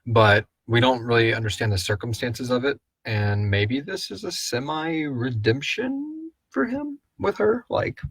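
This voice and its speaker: A man's voice: mid-range voice, expressive, measured pace, few pauses, fairly bright tone, slightly rough, no echo. A man hosting a casual live question-and-answer chat, talking in a rambling, irreverent way with lots of "like". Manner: rambling, frequent "like". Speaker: host and writer of a horror audio drama.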